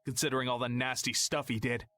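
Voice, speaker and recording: An actor playing a mocking, bullying guild thug in an anime video game dub. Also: male voice, mid-range voice, little pitch movement, fast, pushed and bright, close to an everyday voice. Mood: mocking, contemptuous. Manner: mocking, goading. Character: sneering, bullying male guild thug taunting a girl. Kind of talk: English-dubbed anime video game acting.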